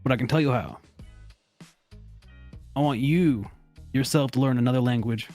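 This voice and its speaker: A man's voice: medium pitch, moderate pace, darkish tone, soft background music. Blunt, foul-mouthed man hosting a joke advice show. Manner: profane, blunt, mock-serious. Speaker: host of a comedy advice segment. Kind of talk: casual, joking group chat and reading aloud.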